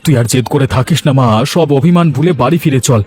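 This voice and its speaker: A man's voice: mid-range voice, quick pace, a little mellow, soft background music, natural voice. A man acting a kind, upright village uncle in a cartoon. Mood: tender, consoling. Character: kind, morally upright village uncle, exasperated with greedy wife.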